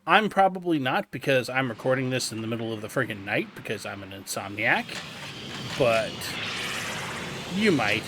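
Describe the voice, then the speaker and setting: A man narrates a video game guide in a medium-pitched voice. His delivery is informal and explanatory, like casual tutorial commentary recorded over gameplay. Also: very animated, moderate pace, noisy background.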